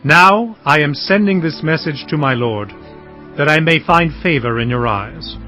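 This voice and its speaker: An actor playing an earnest, humble herdsman patriarch in a dramatized scripture reading, speaking in a measured, solemn way. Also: on the high side, animated, pushed and bright. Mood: instructing, deferential. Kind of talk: dramatized audio scripture acting. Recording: dull lo-fi audio over music.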